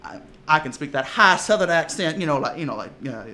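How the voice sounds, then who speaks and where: A man talking casually in an interview as a tabletop player, telling lively stories with frequent laughs and restarts. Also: fairly high pitch, fast. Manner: animated, laughing storyteller with many restarts.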